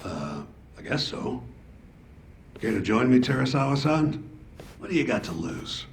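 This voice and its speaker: An actor playing a gruff, cynical male police detective who talks in a sarcastic, crude, dismissive way, in a game scene with music behind. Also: mid-range voice, big pitch swings, measured pace, a little hiss or hum. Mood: sardonic. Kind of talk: game dub acting.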